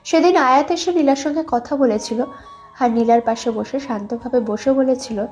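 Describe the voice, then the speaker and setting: High-pitched young woman narrating. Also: moderate pace, a little mellow. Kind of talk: scripted story narration. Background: background music.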